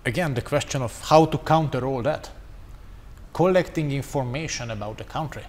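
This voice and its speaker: A man whose first language is Hungarian, speaking English on a conference panel in a measured, analytical way. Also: mid-range voice, darkish tone. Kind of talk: panel discussion. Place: conference hall. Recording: reverberant hall with faint noise.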